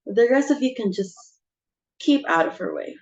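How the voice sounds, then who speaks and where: A woman's voice: fairly high pitch, normal speed, muffled recording, lightly acted. A woman playing a bossy, social-climbing society mother with theatrical exclamations in an amateur stage comedy reading. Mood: fussy, commanding.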